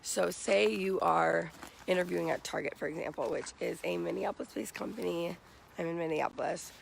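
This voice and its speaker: Raspy, creaky young American man. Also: high-pitched, fairly even intonation, a little fast, a little hiss or hum, poor audio. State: morning hoarseness. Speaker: vlogger giving job interview tips. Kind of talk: casual advice vlog. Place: outdoors.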